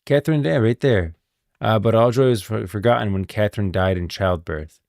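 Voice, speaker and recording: A man's voice: on the low side, brisk, creaky, a little mellow, no echo, studio quality. A man streaming casual game lore commentary, thinking aloud in an informal way. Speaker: live streamer.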